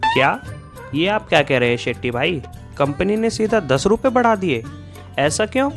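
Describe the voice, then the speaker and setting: A man voices a humble milkman from a cartoon moral tale. He is earnest and deferential, with a slightly high male voice, and music plays behind him. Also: sing-song, normal speed, vocal fry, exaggerated voice. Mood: shocked. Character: honest, polite milkman, meek and earnest man. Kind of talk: Hindi moral-story cartoon dialogue.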